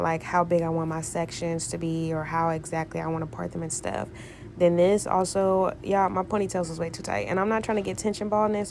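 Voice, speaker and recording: A young woman with a stuffy, cold-thickened voice, doing a relaxed, casual voiceover. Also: on the low side, gravelly, slight background noise. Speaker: beauty vlogger.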